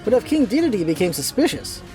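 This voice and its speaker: A woman's voice: medium pitch, big pitch swings, fairly quick, over music, rough recording. A woman reading scripted storybook narration as the narrator of a radio play.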